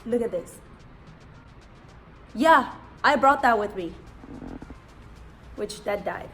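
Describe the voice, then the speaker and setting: A young woman born in Cuba and raised in Israel, whose first language is Hebrew, rambling fast and slangy through a casual vlog in a room set up for filming. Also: fairly high pitch, expressive, a bit bright, soft background music. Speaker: vlogger. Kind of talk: casual get-to-know-me vlog. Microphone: camera mic.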